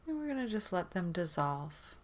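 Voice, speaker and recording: A woman leading a guided meditation in a gentle, soothing manner, leaving long pauses between phrases. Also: lowish voice, big pitch swings.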